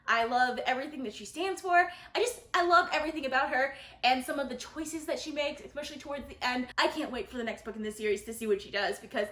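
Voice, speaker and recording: High-voiced woman, enthusiastic book vlogger. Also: fast, hardly any pauses, bright, forceful tone. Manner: enthusiastic, gushing, emphatic. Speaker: book reviewer, video host. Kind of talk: casual book-tag vlog talk to viewers. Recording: roomy echo.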